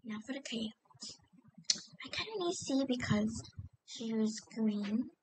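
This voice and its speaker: A woman's voice: fairly high pitch, lively intonation, measured pace, a little mellow, breathy edge, no echo, rough recording. A woman chatting casually as a video creator, hesitant and self-critical as she talks. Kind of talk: casual chat.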